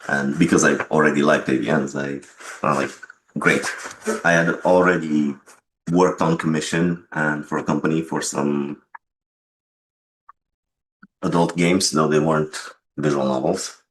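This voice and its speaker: A man answering interview questions as a game developer and writer, explaining things in a measured way. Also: rumbling low, fairly even intonation, a bit bright, a little hiss or hum, poor audio.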